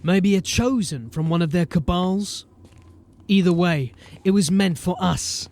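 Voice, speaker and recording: A man acting a gruff, authoritative sergeant of a city watch in a fantasy audio drama, with clipped speech. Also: high-pitched, a little slow, a little mellow. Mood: brooding.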